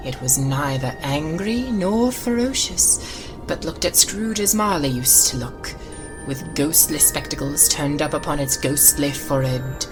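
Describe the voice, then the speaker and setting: A woman narrating a classic ghost story as a dramatic audiobook, theatrical and suspenseful, with a tendency toward hushed, breathy delivery. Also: low-pitched, a little slow, rough recording. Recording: loud music under the voice. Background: music.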